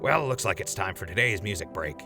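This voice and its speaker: A man's voice: low voice, lively intonation, brisk, vocal fry. A man playing an easygoing, friendly wasteland radio host in an audio drama, with a mild-mannered delivery. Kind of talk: post-apocalyptic radio drama acting. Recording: music behind the voice.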